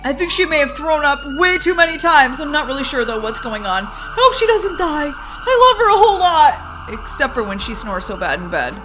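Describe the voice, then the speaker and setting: Woman acting a very high-pitched, goofy young husband. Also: big pitch swings, normal speed, hardly any pauses, over music. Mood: fretful, tender. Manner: dramatic, fretful, goofy. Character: worried, goofy young husband, fond but tactless. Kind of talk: playful skit role-play for children.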